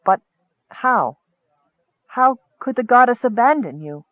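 A man's voice: extremely high pitch, expressive, slow pace, no echo, voice pushed up, heavily acted. Guy doing an impassioned young noblewoman in armor, headstrong and anguished, fantasy audiobook, muffled dry sound. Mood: bewildered. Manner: impassioned, urgent, breaking into cold resolve. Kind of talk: audiobook character acting. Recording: muffled, dull lo-fi recording.

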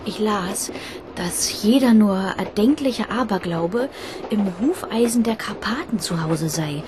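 A refined young fiancée acted by a woman speaks in a wondering, anxious manner, as in a radio play. Her pitch is medium, with clear noise behind her. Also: lively intonation, measured pace, close to an everyday voice. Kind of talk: radio drama dialogue.

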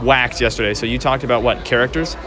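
A man's voice: medium pitch, fairly even intonation, fast talker, bright, forceful tone. A man hosting a podcast with casual, rambling on-the-spot interviews and chat. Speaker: podcast host.

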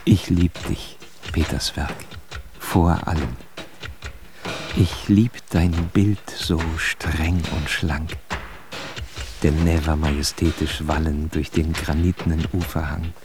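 An actor playing a grave reciter, declaiming deliberately and solemnly in a radio drama. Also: male voice, a bass voice, steady tone, music in the background. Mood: solemn, reverent. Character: grave poetic male reciter of verse and distant places.

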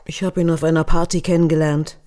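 A woman's voice: deep voice, flat delivery, fast talker, dark tone, natural voice. An actress playing a reserved woman answering a detective in short phrases in a German radio crime drama.

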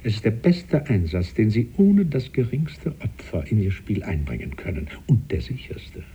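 An actor playing a suave, cunning statesman and former bishop in a German radio play, silky, deliberate and sardonic. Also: lowish voice, huge pitch swings, mellow, slightly rough, heavily acted. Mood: smoothly persuasive.

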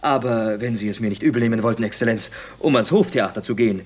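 A man's voice: on the low side, fast talker, breathy edge. An actor playing a witty, cheeky man of the theatre in a radio comedy, with ornate, ironic courtesy. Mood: ornately polite. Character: witty, cheeky stage actor, ornately polite, always short of money. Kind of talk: German radio play comedy acting.

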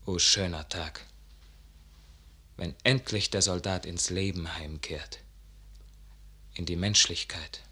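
An ardent young soldier, piercingly bright-voiced. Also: male voice, low-pitched, steady tone, takes it slowly, long pauses, heavily acted. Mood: wistful. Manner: declamatory, impassioned, swelling. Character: ardent idealistic young soldier, son at odds with his father. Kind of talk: classical German stage verse radio acting.

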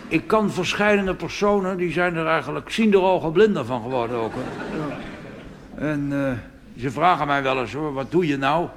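A man's voice: a little high, background noise, natural voice. A man playing a cheeky, half-deaf old street-organ grinder in a rambling, mumbling cabaret monologue. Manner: rambling, hesitant, mumbled asides.

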